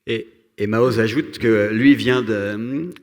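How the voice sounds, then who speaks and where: A man interpreting live at a public event, calmly summarizing what the speaker said, with some fillers. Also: lowish voice, slow, in a large hall.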